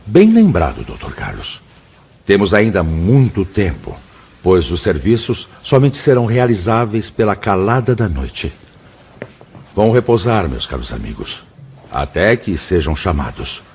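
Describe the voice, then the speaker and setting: An actor playing a wise, grave spirit mentor in a radio drama, speaking in a measured, formal, fatherly way. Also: low-pitched, sing-song, creaky, a little mellow, slight background noise, heavily acted. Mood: kindly, authoritative. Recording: slightly muffled radio drama with music.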